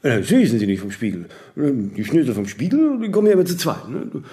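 An actor playing a vain, ageing celebrity in a radio play, imperious, aloof and rambling in aphorisms with little tag questions. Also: male voice, mid-range voice, sing-song, quick pace, warm tone, close to an everyday voice. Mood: musing, pontificating. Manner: haughty, rambling, aphoristic, with clipped tag questions and self-corrections. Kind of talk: radio play monologue in dialogue.

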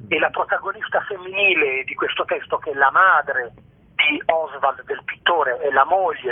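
A man's voice: fairly high pitch, husky. A man, a theatre director being interviewed on radio, explaining a play in an erudite, emphatic, literary way. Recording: narrow, echoey telephone line.

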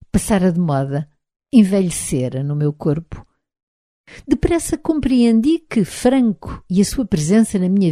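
A woman with a European Portuguese accent reading a literary novel aloud in the first person, measured and reflective. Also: lowish voice, mellow, dry recording, studio quality. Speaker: audiobook narrator. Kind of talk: literary audiobook narration.

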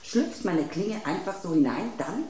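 In an echoing room with faint noise, a cynical silver knife speaks in a low-key, mellow female voice. She is dry, sardonic and suspicious, as suits a radio drama. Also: animated, unhurried. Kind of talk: German radio play acting.

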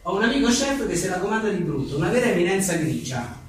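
A man's voice: sits high, sing-song, fast talker. A man reads comic one-liners aloud at a live book presentation, in a wry, joking way with offhand asides. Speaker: journalist and author presenting his book. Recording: echoey room, distant mic, faint noise.

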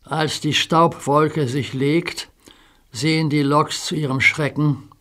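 A man narrating a children's radio play in a measured storytelling manner. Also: on the high side, steady tone, a bit bright. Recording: noisy soundtrack recording.

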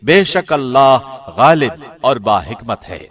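A professional male narrator reading a scripture translation in a measured, solemn, formal studio style. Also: mid-range voice, big pitch swings, a bit bright. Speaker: translation reader. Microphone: studio mic.

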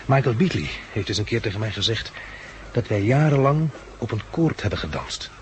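A man's voice: lowish voice, big pitch swings, normal speed. An actor playing a reflective, world-weary male catastrophe survivor in a 1960s Dutch radio drama, articulate and wry, with a Netherlandic accent. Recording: noisy old 1960s radio recording.

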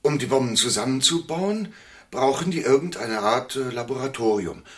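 An actor playing an excitable, grumbling sidekick in a German radio drama caper. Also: male voice, mid-range voice, moderate pace, natural voice. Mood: eager.

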